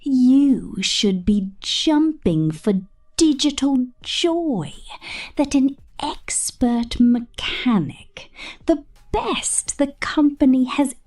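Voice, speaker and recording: Slow, mellow, whispering ASMR woman in character. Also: sits high, lively intonation. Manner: slow, breathy delivery slipping into whispers. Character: possessive, teasing young woman space mechanic, condescendingly maternal to robots. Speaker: ASMR roleplay performer. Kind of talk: ASMR character roleplay monologue, often whispered.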